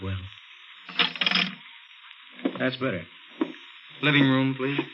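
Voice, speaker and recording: A man's voice: mid-range voice, slow, lightly acted. An actor playing a cold murderer posing as a helpful policeman in a 1950s radio thriller, clipped and matter-of-fact. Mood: cold, menacing. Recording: muffled, noisy 1950s radio recording.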